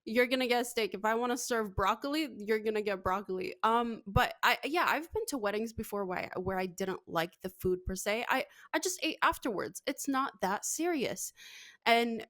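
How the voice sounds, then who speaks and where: A woman's voice: fairly high pitch, animated, moderate pace, a bit bright, no room sound. A woman chatting casually on a radio show in a blunt, storytelling manner. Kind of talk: casual chat.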